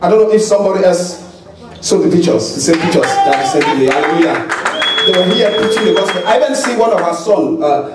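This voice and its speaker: A middle-aged man with a Cameroonian accent, a pastor addressing his congregation, animated and punctuating with hallelujah and amen. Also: high voice, quick pace, heavy background noise. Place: large church hall stage. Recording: echoey hall with crowd, from far off.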